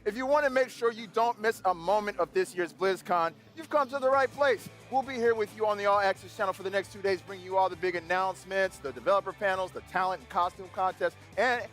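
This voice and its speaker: Man, live event co-host, high voice, rapid talk, playful joking banter. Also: fairly bright tone, soft background music. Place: convention center.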